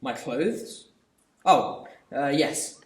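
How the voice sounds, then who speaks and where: A man's voice: medium pitch, drawn-out words, roomy sound. A teenage boy playing a timid, meek grown son who stammers and hesitates. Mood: flustered. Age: teens.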